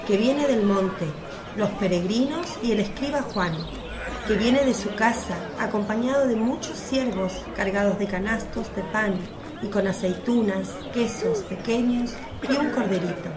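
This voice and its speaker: A woman's voice: mid-range voice, measured pace, crowd noise. A woman reading scripted story narration as the narrator of a religious radio play.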